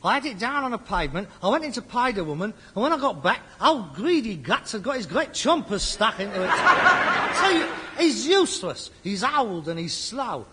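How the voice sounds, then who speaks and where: An exasperated Cockney son in a radio sitcom. He gripes defensively in a high, swooping voice, with clear background noise and an echoing hall around him. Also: very animated, moderate pace. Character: put-upon, exasperated Cockney rag-and-bone man son. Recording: echoing hall, noisy old radio recording.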